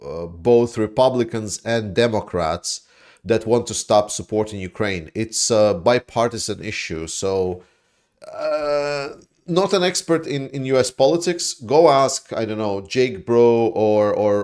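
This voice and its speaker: A man from Latvia, hosting a casual livestream and reading viewer chat, rambling and animated, with frequent swearing. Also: lowish voice, a little slow. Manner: rambling, animated, swears, mocks opponents in put-on voices.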